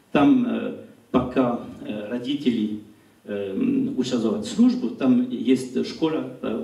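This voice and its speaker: A middle-aged man with a French accent gives a public talk in a language not his own, stopping often to find the next word. His voice is mellow and dark, held in a handheld mic. The sound is roomy and comes from across a small gallery hall. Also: mid-range voice, creaky, distant mic. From France. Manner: halting, searching for words. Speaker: lecturer. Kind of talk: public lecture. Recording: roomy hall recorded from afar.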